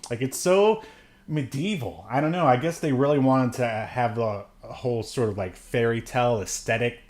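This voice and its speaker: Guy podcast host with a mid pitch voice, rambly casual movie chat, jokes around and chuckles a lot. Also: normal speed. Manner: rambling, jokey, chuckles often. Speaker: podcast co-host reviewing films.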